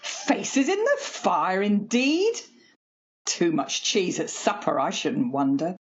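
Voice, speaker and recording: A woman's voice: lowish voice, wildly swooping pitch, slow pace, breathy edge, highs cut off, heavily acted. A woman playing a practical older mother in an amateur radio play, chiding and dismissive in manner. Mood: scolding, sceptical.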